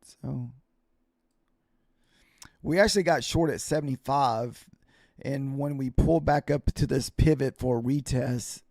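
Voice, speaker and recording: Male day-trading streamer, creaky and slightly hoarse, informal halting commentary, close dry sound. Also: mid-range voice, darkish tone, dry recording. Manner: informal, halting, thinking aloud. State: voice starting to get hoarse. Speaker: livestreaming futures day trader and host. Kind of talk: casual live trading commentary, answering viewers.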